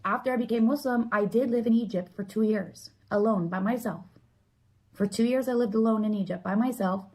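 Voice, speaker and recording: A woman giving a casual, explanatory monologue, earnest and opinionated, often halting and leaning on filler words. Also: a little high, a little mellow, poor audio.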